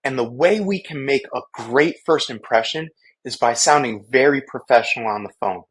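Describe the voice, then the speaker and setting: A man's voice: medium pitch, expressive, moderate pace, a bit bright. A man working as a real estate sales trainer, giving an instructional talk in a coaching way, often checking in with his listeners. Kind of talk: instructional training talk with role-played example phone lines.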